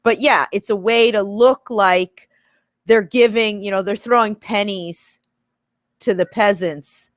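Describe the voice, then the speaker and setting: A woman's voice: mid-range voice, slow pace, dry recording, studio quality. A woman on a discussion panel, arguing conversationally and hedging as she reasons through her points. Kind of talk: conversational argument.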